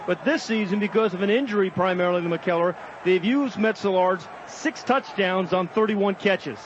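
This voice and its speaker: A man's voice: sits high, moderate pace. An American man giving color analysis on a live football radio broadcast. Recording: dull, noisy stadium radio broadcast. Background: stadium noise.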